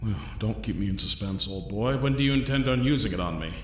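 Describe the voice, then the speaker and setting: A man playing a trapped, inquisitive young man in an audio drama, measured, dry and politely formal, with cool defiance. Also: on the low side, lightly acted. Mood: wry, defiant. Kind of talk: scripted audio drama acting. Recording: roomy echo, noticeable background noise.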